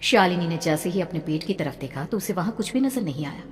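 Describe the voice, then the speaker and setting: Woman narrating horror in deep reverb. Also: expressive, a little fast. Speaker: storyteller. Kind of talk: dramatic scripted horror narration. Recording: long reverb, background noise.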